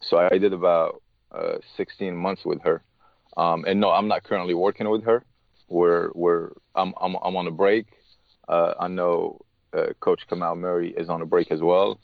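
A man, a tennis coach being interviewed, speaking haltingly and often restarting his words. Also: low voice, level tone, creaky, no room sound, narrow, tinny audio. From Morocco. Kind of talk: casual interview talk.

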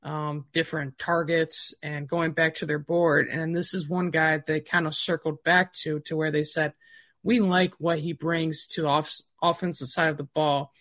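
Bright Midwestern-accented male reporter. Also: fairly high pitch, level tone, moderate pace, no echo. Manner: chatty, many fillers like "you know". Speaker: recruiting reporter. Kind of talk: casual sports talk.

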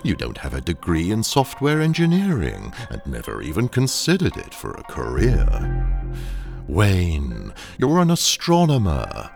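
An actor in an audio drama playing a ruthless training engineer who speaks in a deliberate, condescending, hypnotically coaxing way to a captive. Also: male voice, deep voice, huge pitch swings, vocal fry, over music, full character voice. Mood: insistent. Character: ruthless, sinister male training engineer, coolly brainwashing a captive.

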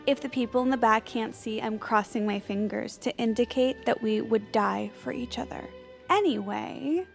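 A chatty, oblivious socialite in an audio comedy. Her talk is girlish and gossipy, pitched on the high side, with faint music underneath. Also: normal speed, creaky. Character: perky, dim, chatty female socialite storyteller. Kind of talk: comedic audio drama narration.

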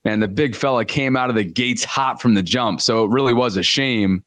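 A man co-hosting a sports talk show in an upbeat, conversational tone. His voice is mid-pitched and the sound is dry and close. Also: normal speed, a bit bright, dry recording. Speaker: sports podcast co-host.

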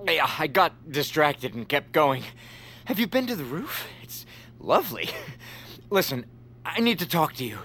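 An actor playing an earnest, oblivious, fired radio host in a comic audio drama, theatrical and wordy. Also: male voice, a little high, very animated, measured pace, pushed and bright, heavily acted. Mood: flustered.

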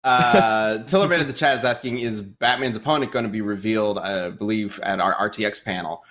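A man's voice: on the low side, brisk, fairly bright tone. Give me an upbeat man hosting a casual livestream and reading out viewer questions. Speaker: host of a web show.

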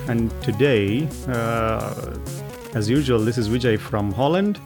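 Guy hosting a podcast, mid pitch, stops and restarts a lot, bit of quiet music under it. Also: slow pace, vocal fry. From India. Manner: friendly, hesitant, many restarts. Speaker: podcast host.

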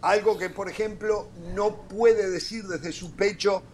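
A man hosting a sports talk show, teasing and joking with his panel in rapid back-and-forth. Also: sits high, fairly even intonation, slight background noise. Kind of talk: lively panel banter.

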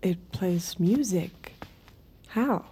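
A woman's voice: lowish voice, animated, very slow, mellow, a little hiss or hum, exaggerated voice. An actress playing a mysterious, seductive woman on a date in an intimate audio drama, bold and commanding in a terse, controlled way. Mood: curious, wondering. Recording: music behind the voice. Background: music.

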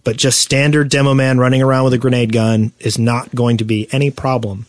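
A man's voice: medium pitch, flat delivery, a little fast, no room sound. Man chatting on a dry recording. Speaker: podcast co-host. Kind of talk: casual gaming podcast chat.

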